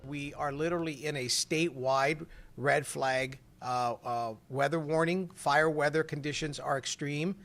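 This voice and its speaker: A man's voice: on the high side, fairly even intonation, a little slow, creaky, fairly bright tone. A man making press remarks as an official.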